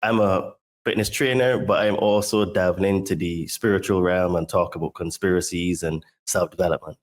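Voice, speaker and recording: A man from Barbados with a fairly low voice is a guest on a podcast. He talks about his work as a fitness coach with casual enthusiasm, and fills his talk with "dude" and "right?". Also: fairly even intonation, moderate pace. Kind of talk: casual chat.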